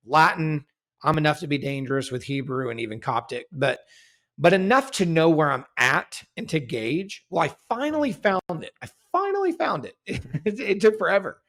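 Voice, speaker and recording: A man's voice: mid-range voice, a bit bright. A man as a guest researcher in a casual scholarly discussion, careful and self-correcting, explaining his findings at length.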